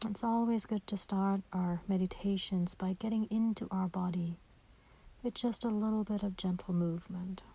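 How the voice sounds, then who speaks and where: A woman guides a meditation in a soft and breathy voice. Her tone is warm, relaxed and dark, with nothing sharp in it. She gives gentle, soothing instructions and leaves space between phrases. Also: mid-range voice. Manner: gentle, slow and soothing, with pauses.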